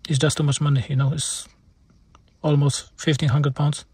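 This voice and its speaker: Male vlog mechanic, Polish accent and native tongue, raspy edge, informal explainer with halting second-language phrasing. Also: a little high, level tone, darkish tone. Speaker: car mechanic presenting a repair video.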